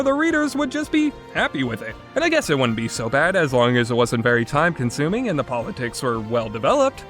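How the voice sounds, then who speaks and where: A man's voice: on the high side, fairly bright tone. A man giving an opinionated video game review in an exasperated, sarcastic and emphatic way, with casual phrasing.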